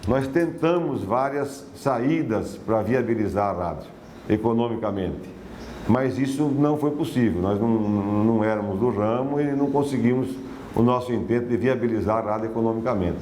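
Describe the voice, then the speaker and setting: Mellow Brazilian man in an echoey room. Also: mid-range voice, moderate pace. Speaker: former radio station administrator. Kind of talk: interview recollection. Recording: roomy echo, faint music.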